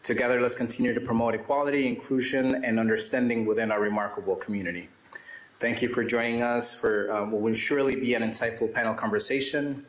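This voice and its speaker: A man's voice: mid-range voice, steady tone, fast. A man giving formal opening remarks at an event, reading figures aloud with frequent fillers and restarts. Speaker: event presenter. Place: event hall. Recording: roomy hall sound.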